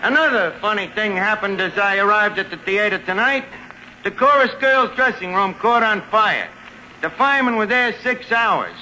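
An actor playing a wisecracking, scheming tavern manager with a slangy New York accent and a sardonic manner, in 1940s radio comedy style. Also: male voice, high-pitched, lively intonation, normal speed, sharp tone, exaggerated voice. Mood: showy patter. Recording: noisy vintage radio broadcast recording.

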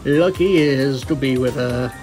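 A man's voice: mid-range voice, moderate pace, darkish tone, soft background music. A man reading scripted story narration as the narrator of a fan fiction tale.

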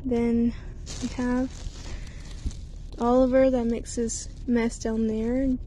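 A woman's voice: a little high, flat delivery, slow, warm tone. A woman casually narrating a road trip vlog from inside a car, filming on a handheld phone. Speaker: vlogger. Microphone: phone camera held in hand. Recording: car ride noise behind. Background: car engine and road noise.

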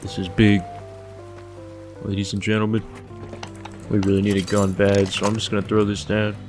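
Deep-voiced young man streaming a game. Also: monotone, moderate pace, over music. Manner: swears often, jokes, thinks aloud. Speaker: streamer playing a survival game. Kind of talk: casual gameplay commentary.

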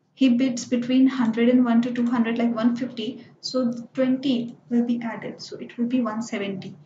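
A woman narrating a screen-recorded software tutorial, explaining each step plainly with lots of "so" and "like". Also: on the high side, a little hiss or hum. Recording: dull, roomy screencast voice-over.

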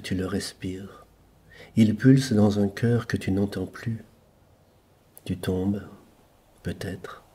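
A man reciting literary poetry in a measured, contemplative manner, with deliberate pauses between short phrases and a husky voice. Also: lowish voice, mellow. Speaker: poet reading own poems.

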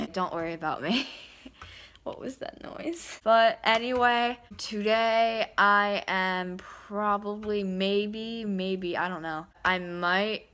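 A teenage girl vlogging casually at home, slightly hoarse and rambling with lots of fillers. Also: mid-range voice, slow pace, fairly bright tone. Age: teens. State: slightly hoarse, losing her voice. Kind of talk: casual vlog chat. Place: home living room, then kitchen, indoors. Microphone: phone camera at arm's length.

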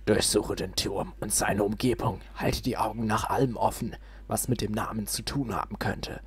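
A low, sinister goblin warlord as a man acts him out hands down orders with menace. The delivery is commanding and villainous, in the manner of a fantasy game. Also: fairly even intonation, normal speed, natural voice. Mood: menacing.

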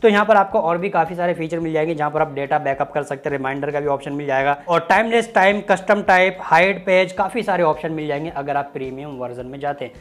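A man's voice: fairly high pitch, fast, few pauses, fairly bright tone. A man, hosting a casual, chatty tech review with joking, colloquial asides. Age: 20s. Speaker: YouTube tech host. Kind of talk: casual app review to camera. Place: small home studio room with desk. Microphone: lapel mic.

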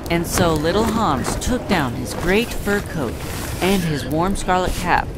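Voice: mid-range voice, expressive, a little slow, over loud music, poor audio. A narrator reading a scripted classic story in storybook style. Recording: clear background noise.

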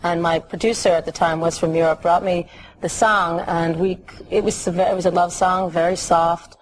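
Singer in a TV studio interview, low-voiced woman. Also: steady tone, normal speed, rough recording. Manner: warm, laughs easily, thinks aloud with fillers. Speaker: singer, guest. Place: TV studio set. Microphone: lapel mic. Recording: faint hiss, old TV tape.

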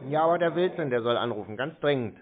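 A man's voice: medium pitch, lively intonation, fast talker, crowd noise. A man chatting casually on the phone in a laconic, stubbornly insistent way. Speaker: prank callee baiting phone scammers. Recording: muffled narrowband phone line.

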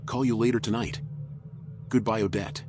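A man's voice: on the low side, steady tone, normal speed, lightly acted. Noir game raccoon detective done by a guy, dry and terse, kinda tired, music playing under him. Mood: businesslike. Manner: dry, laconic, businesslike. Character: world-weary male raccoon private detective, wry and terse. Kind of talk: noir video game voice acting.

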